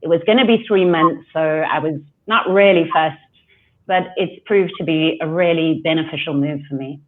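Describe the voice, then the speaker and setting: A woman's voice: low-pitched, normal speed. A woman with a South African accent, a coach chatting as a guest on a video call, laughing easily. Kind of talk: casual video call chat. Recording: tinny phone-like call audio.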